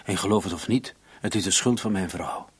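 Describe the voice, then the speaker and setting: An actor playing a defensive, irritable murder suspect in his late thirties, husky and breathy, in a radio drama. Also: deep voice, fairly quick. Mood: bitter confession. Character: defensive, irritated 37-year-old male murder suspect in love. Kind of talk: Dutch-language radio drama dialogue.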